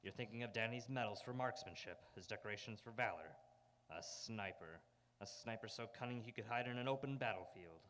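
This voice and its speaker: A man acting a grim, relentless crime fighter in a live radio drama, speaking in an ominous, deliberate way. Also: fairly low pitch, a bit bright, close to an everyday voice. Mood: menacing accusation.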